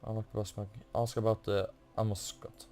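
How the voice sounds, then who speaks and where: A man giving casual playthrough commentary as a gaming video host, halting as he searches for words. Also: fairly low pitch, flat delivery, a little mellow.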